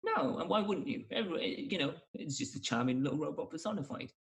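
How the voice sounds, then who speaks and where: Gravelly man hosting a chat. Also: a little high, expressive, fast talker. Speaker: podcast host. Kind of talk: casual chat.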